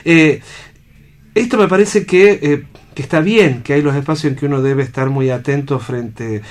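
An Argentine man reflecting aloud as a radio panelist, halting as he thinks and often checking for agreement. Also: fairly high pitch. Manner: thoughtful, halting, seeks agreement with tag questions. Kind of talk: reflective unscripted discussion.

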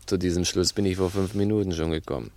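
A male actor playing a cool, calculating, sardonic crook with a deliberate, condescending manner in a 1960s German radio play. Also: sits low, steady tone, gravelly, darkish tone, dry recording. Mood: coolly scheming.

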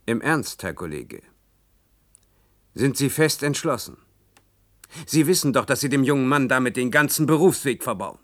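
An actor playing a principled, sardonic university colleague in a German radio play, dry, ironic and reproachful. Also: male voice, mid-range voice, animated, normal speed, long pauses, a bit bright, no room sound, close to an everyday voice.

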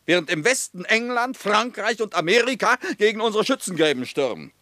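A man's voice: sits high, very animated, fairly quick, pushed and bright, no room sound, clean studio sound, exaggerated voice. An actor playing a defiant, scornful revolutionary politician in a German radio play, lecturing his comrades.

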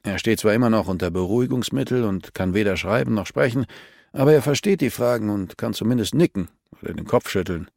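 A man's voice: fairly low pitch, animated, a little fast, gravelly, darkish tone, no echo, clean studio sound. A man voicing a formal hospital doctor in an audiobook, explaining things in a reassuring way.

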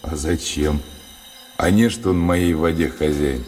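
An actor in a Russian radio play playing a sly, selfish soldier who is mocking and defiant. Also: male voice, very deep voice, fairly even intonation, measured pace, darkish tone, soft background music. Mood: surly, defiant.

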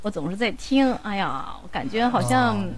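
A woman's voice: mid-range voice, lively intonation, moderate pace. An older woman chatting casually as a guest, rambling and questioning herself as she goes. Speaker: amateur voice actress, guest. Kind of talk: casual chat.

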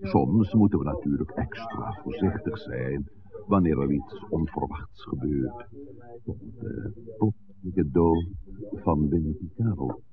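A man playing a suave, scheming village pastor in a 1960s radio drama, speaking in formal, measured, courteous sentences. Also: very deep voice, animated, vocal fry, crowd noise, full character voice. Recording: muffled, noisy old radio recording.

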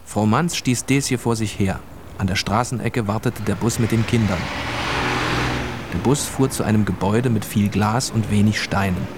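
A man's voice: lowish voice, moderate pace, very noisy, lightly acted. A man narrating a children's radio play as a plain storyteller, reading a scripted tale.

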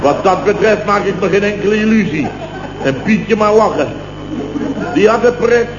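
An actor playing a blustering, self-pitying contractor boss, worn out from lack of sleep, rambling and grumbling in an old radio comedy. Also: male voice, sits high, moderate pace, close to an everyday voice. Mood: indignant. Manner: rambling, repetitive, grumbling exclamations. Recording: echoey, muffled, hissy old radio recording.

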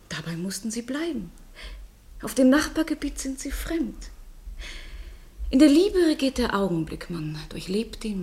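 A woman's voice: mid-range voice, darkish tone, natural voice. An actress playing a haughty, flirtatious noblewoman in a radio play, speaking in an eloquent, mocking way. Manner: mocking, eloquent, formally polished. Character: haughty, witty, flirtatious noblewoman rebuking her married lover. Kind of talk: German literary radio play acting.

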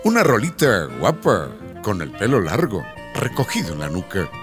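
A man's voice: lowish voice, huge pitch swings, moderate pace. A man narrating a radio serial as a scripted, dramatic storyteller. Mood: storytelling. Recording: music under the narration.

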